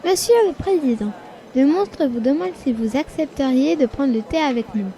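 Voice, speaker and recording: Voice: high voice, animated, moderate pace, dark tone, rough recording. A child performer playing a bold, indignant little girl in an amateur audio drama. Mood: polite.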